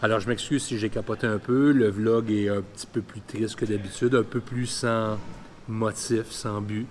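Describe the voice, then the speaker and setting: A man's voice: fairly low pitch, steady tone, normal speed, slight background noise. A man of about fifty with a Quebec French accent, telling a personal story candidly and colloquially in a vlog. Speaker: vlogger.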